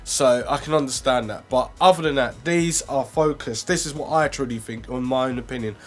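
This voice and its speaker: A man's voice: mid-range voice, fairly quick, soft background music. A young man reviewing earphones in a casual, rambling way, full of fillers and restarts. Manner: rambling, self-correcting, lots of fillers. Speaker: product reviewer.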